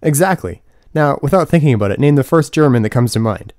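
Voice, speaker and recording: A man's voice: medium pitch, expressive, fast, a little mellow, dry recording, studio quality, voice pushed up, close to an everyday voice. A man reading the part of an indignant, lecturing college woman in an audiobook, in a pointed, argumentative manner. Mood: challenging, sarcastic.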